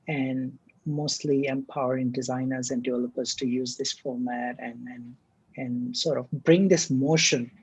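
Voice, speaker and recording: A man from the Maldives, a startup CTO, answering interview questions in a relaxed, hesitant way with many fillers. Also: mid-range voice, husky, poor audio.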